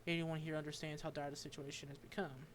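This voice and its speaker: A man streaming a game, reading its dialogue aloud and commenting, halting and stumbling over unfamiliar names. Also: on the high side, flat delivery, a little hiss or hum.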